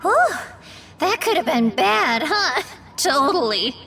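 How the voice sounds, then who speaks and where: A woman's voice: high voice, very animated, slow pace, slight background noise, exaggerated voice. An actress voicing a perky, clingy young female companion in a video game, talking in a bubbly, teasing way. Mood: musing. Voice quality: bubbly, teasing valley-girl talk. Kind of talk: video game cutscene voice acting. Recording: game audio with loud music behind.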